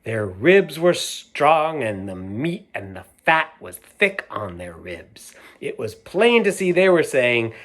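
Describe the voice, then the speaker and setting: A man reads a picture book aloud at a slow, unhurried pace, as if sitting with a group of children. He talks warmly to them, asks them questions and points out the pictures. His voice sits at an ordinary middle pitch. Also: big pitch swings. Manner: unhurried, playful, talks directly to young listeners. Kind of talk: read-aloud storytime with asides about the pictures.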